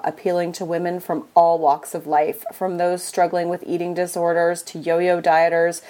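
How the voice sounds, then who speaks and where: A woman's voice: on the low side, mellow. A Canadian woman hosting a podcast, upbeat and enthusiastic, saying "like" often in casual talk. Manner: upbeat and enthusiastic, with frequent likes. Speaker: podcast host. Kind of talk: podcast intro and casual interview.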